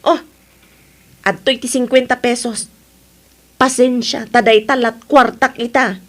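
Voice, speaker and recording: A woman acts out a callous grown daughter in an audio drama. Her voice is high and scolding, with curt, impatient nagging. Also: fairly even intonation, a little slow, natural voice. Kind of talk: Ilocano audio drama acting.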